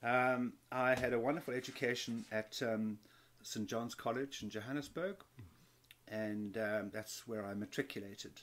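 A man's voice: medium pitch, steady tone, normal speed, a bit bright. A man in his fifties from South Africa, telling his story as an interview guest, with many restarts and repeated words. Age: 50s. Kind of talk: conversational interview.

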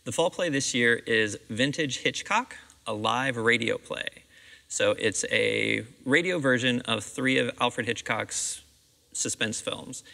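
Middle-aged American man, interviewed director, very creaky voice, recorded in a reverberant auditorium. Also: mid-range voice, slow, pushed and bright. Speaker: school theatre director being interviewed. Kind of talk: conversational interview answers. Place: seated on the stage of a theatre auditorium. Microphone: clip-on lapel microphone.

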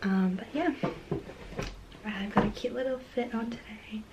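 A woman's voice: fairly high pitch, unhurried. A young woman in her twenties talking straight to a handheld camera as a casual travel vlogger, chatty and upbeat. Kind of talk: casual vlog narration. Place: outdoors on city streets, some indoor rooms. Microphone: camera held at arm's length. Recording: street noise and traffic behind.

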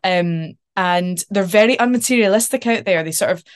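A woman's voice: mid-range voice, moderate pace, clear, resonant tone. A young woman with a Glaswegian Scottish accent, an actor guest talking in a casual interview over a video call from her couch at home. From Scotland. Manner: chatty and animated, with many ums and likes. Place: home living room, sitting on a couch.

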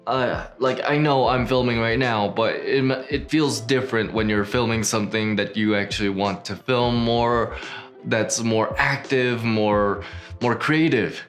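A man's voice: mid-range voice, measured pace, soft background music. A young man in his twenties hosting a filmmaking channel, talking casually and eagerly to camera from a small home studio. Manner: upbeat, animated hand gestures, chatty with restarts. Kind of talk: casual talking-head vlog. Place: small home studio with neon lights, indoors.

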